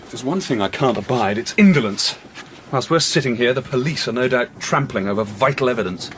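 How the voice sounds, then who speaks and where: A man plays a self-assured young journalist sleuth in a radio play, brisk, eager and teasing. His intonation is very animated at an average male pitch, over faint ambient noise. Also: close to an everyday voice. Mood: confident. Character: Rouletabille, brilliant cocky young journalist and amateur detective. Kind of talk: radio drama dialogue.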